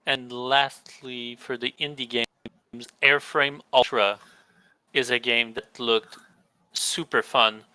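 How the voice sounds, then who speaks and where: Young guy hosting a gaming podcast, super bright crisp voice, dry sound, kinda rambly. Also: mid-range voice, steady tone, takes it slowly, no echo, rough recording. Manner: rambling, restarts mid-sentence. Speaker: podcast host. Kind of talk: casual chat.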